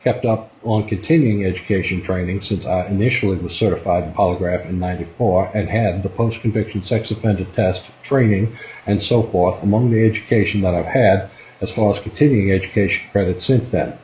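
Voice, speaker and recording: A man's voice: deep voice, brisk, dark tone, slight background noise, lightly acted. A man playing a matter-of-fact retired state trooper giving formal expert testimony in a courtroom readers theater piece. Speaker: expert witness. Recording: dull, roomy lo-fi recording.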